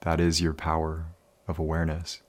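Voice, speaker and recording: A man leading a guided meditation in a calm, soothing, unhurried manner with many pauses. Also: very deep voice.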